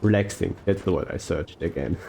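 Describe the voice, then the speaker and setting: A young man talking slowly and softly over gameplay, rambling with fillers and self-corrections. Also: low voice, gravelly, slight background noise. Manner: slow, soft-spoken, unhurried. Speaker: gaming YouTuber. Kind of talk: relaxed gameplay commentary.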